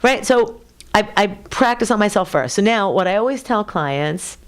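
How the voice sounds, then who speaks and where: A woman's voice: mid-range voice, animated, moderate pace. A woman from Queens co-hosting a casual podcast, a nutrition coach who talks bluntly and directly. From Queens, New York. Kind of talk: casual chat.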